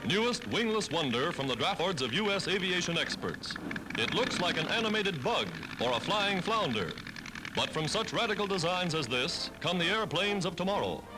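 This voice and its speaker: A man's voice: sits high, low-quality recording. An American man narrating a 1940s newsreel in an emphatic, dramatic announcer style, on an old film soundtrack.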